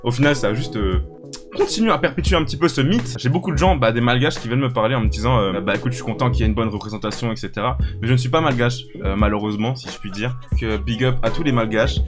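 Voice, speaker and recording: A man's voice: medium pitch, fast talker. A man hosting a live quiz in a casual, playful, slangy and exclamatory way. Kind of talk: casual, jokey livestream quiz commentary. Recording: loud music under the voice. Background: music.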